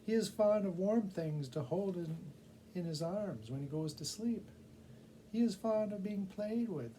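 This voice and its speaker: A man voicing a small, eager, gossiping cave bat in a storybook reading, announcing news excitedly. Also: high-pitched, unhurried, slight background noise, pitched above the performer's own voice, poor audio. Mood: eager, informative.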